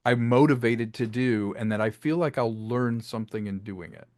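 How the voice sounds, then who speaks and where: A man's voice: mid-range voice, moderate pace, a little mellow, no room sound, clean studio sound. A man being interviewed as a filmmaker and audio drama writer, talking in a conversational, expansive, reflective storytelling way.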